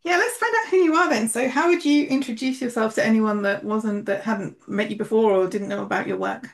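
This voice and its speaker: A woman's voice: on the high side, fairly quick, gravelly. A woman hosting a podcast, talking in a friendly, chatty way with loose phrasing. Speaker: podcast host, English coach. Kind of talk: podcast intro and casual interview.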